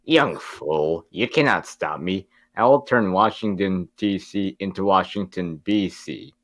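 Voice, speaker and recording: A low, grandiose mad scientist played by a man at a table read declaims like a cartoon villain. He is pompous and gloating, on a close, dry recording. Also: a little slow, a bit bright, no echo, full character voice. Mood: menacing.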